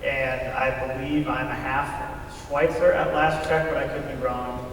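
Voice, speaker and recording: A man's voice: mid-range voice, little pitch movement, normal speed, noisy background. An American man speaking informally on stage to an audience. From rural Kansas, USA. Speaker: readers theater performer. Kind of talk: informal self-introduction to an audience. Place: large echoey room before a live audience. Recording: echoing hall, distant microphone.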